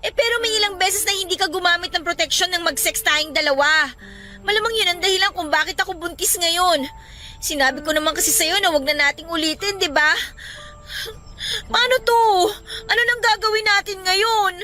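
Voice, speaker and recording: A woman's voice: extremely high pitch, brisk, sharp tone. A woman playing an anxious, irritable pregnant young girlfriend in a Filipino radio drama, with an emotional, scolding delivery. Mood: tearful panic. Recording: music bed behind the voice.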